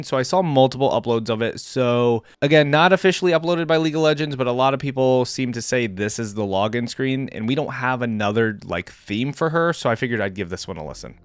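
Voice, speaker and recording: A man's voice: mid-range voice, clear, resonant tone, no room sound, clean studio sound. A man giving casual reaction commentary as a gaming channel host, chatty and thinking aloud. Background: game theme music.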